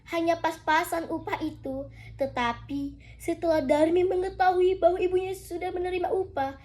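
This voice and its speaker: Very high-voiced young female storyteller. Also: fairly even intonation, moderate pace, darkish tone. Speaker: storytelling contestant. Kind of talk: dramatic folktale narration.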